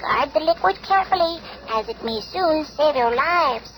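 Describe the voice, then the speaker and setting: A man playing a tiny, calm, ant-sized scientist superhero in a 1970s radio drama, speaking precisely in a small voice. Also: moderate pace. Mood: calm, helpful. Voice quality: small, high-pitched miniature voice. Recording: noisy old 1970s radio recording.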